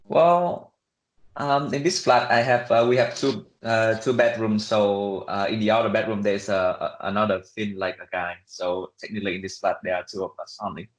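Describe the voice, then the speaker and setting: A young man speaks in a fairly low voice with a Vietnamese accent, recorded in an echoey room. He answers in a casual, conversational way, pausing and hedging as he searches for words. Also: steady tone. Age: young adult. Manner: halting, conversational, many fillers and hedges. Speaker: interview guest, international student.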